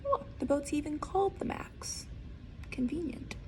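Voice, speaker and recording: A woman reading a picture book aloud to young listeners, theatrically, with dramatic hushes and drawn-out words. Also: high-pitched, very animated, a little hiss or hum. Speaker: children's read-aloud storyteller.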